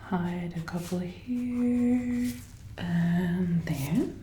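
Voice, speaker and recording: A woman doing a gentle, attentive ASMR roleplay as an outfit designer, soft-spoken and often whispering, breathy and close to a binaural mic. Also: on the low side, drawn-out words, echoey room, a little hiss or hum. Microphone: close binaural microphone, ear to ear.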